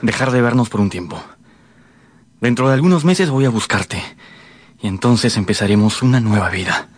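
An actor in a radio drama playing a scheming, domineering lover and murderer, insistent and commanding. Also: male voice, mid-range voice, expressive, moderate pace, breathy edge, dry recording. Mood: cold, persuasive.

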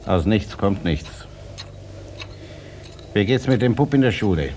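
An actor playing a taciturn, hardworking, humourless farmer in a 1950s German radio drama, terse and matter-of-fact. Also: male voice, on the low side, expressive, a little slow, dark tone. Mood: gruff. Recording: faint noise, old radio production.

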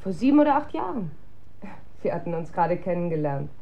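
An actress playing an observant, opinionated wife in naturalistic radio drama, conversational and pointed. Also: female voice, fairly low pitch, sing-song, brisk, gravelly, muffled recording, heavily acted. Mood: sceptical, dismissive.

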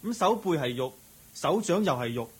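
An exasperated young husband and son in a Cantonese radio drama from Hong Kong. His slightly husky voice sits fairly high and leaps around as he grumbles. He is sulky, agitated and given to sighs. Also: expressive, normal speed. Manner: agitated, complaining, sighing.

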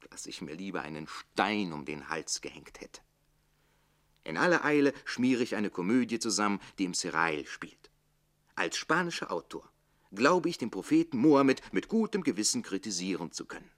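An actor playing a jealous, witty, defiant servant in a theatrical radio play, with declamatory delivery that moves between hushed and forceful. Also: male voice, lowish voice, very animated, moderate pace. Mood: indignant, sardonic.

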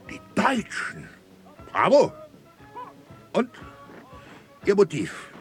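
A man's voice: sits high, wildly swooping pitch, drawn-out words, soft background music, full character voice. An actor in a radio drama playing a sneering, authoritarian colonial military commandant who barks and mocks as he interrogates. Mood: sharp interrogation. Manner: barking, mocking, interrogating.

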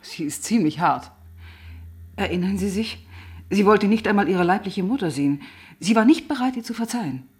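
An actress playing a composed, probing police detective who narrates and talks through the case in a measured, matter-of-fact way in a crime radio play. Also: female voice, mid-range voice, lively intonation, slightly rough. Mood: grave, resolute. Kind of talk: German crime radio play, dialogue and first-person narration.

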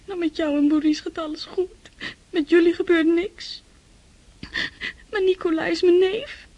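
A woman's voice: extremely high pitch, fairly even intonation, measured pace, darkish tone, no echo. A woman playing a lovesick, hurt young girl in a radio play, crying as she speaks. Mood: tearful, anguished.

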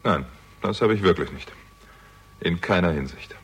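A sharp-witted young sleuth in a radio thriller. His voice is low and husky, and he probes with dry irony and cool sarcasm. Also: lively intonation, normal speed, exaggerated voice. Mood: accusing, controlled. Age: young adult. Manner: dry, ironic, probing. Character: sardonic younger man, shrewd amateur investigator. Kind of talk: German radio crime drama acting.

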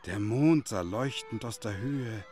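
An actor playing a brooding storyteller in a radio drama, narrating in a solemn, dramatic, literary way. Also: male voice, on the low side, a little slow, close to an everyday voice. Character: brooding male storyteller turning tragedy into a novella. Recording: loud music behind the voice.

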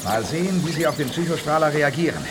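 An actor playing a calm, commanding leader of a space expedition in an audio drama. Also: male voice, fairly high pitch, fast talker, natural voice. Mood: calm, resolute. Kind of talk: 1980s German audio drama acting. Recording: clear background ambience noise. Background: ambient noise.

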